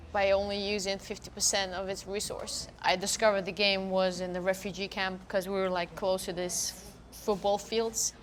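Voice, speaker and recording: A young woman whose native language is Dari answers interview questions in earnest, thoughtful phrases, often pausing with "like" and "uh." Her voice sits at a mid pitch for a woman. Faint background sound sits behind her. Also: fairly bright tone, a little hiss or hum. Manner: earnest, reflective, speaks in loose thoughtful phrases with fillers. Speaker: professional soccer player. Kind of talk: casual interview chat.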